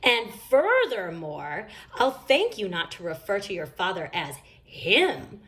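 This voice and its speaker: A woman's voice: mid-range voice, big pitch swings, slow, fairly bright tone. A woman voicing a stern, easily annoyed mother bear in a children's storybook, scolding firmly and emphatically. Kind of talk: children's storybook read-aloud.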